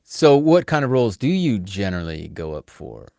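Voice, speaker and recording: A man's voice: fairly low pitch, sing-song, normal speed, gravelly, darkish tone, dry recording, studio quality. A middle-aged man hosting a casual interview, informal and bantering in the way he talks. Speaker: podcast host, actor.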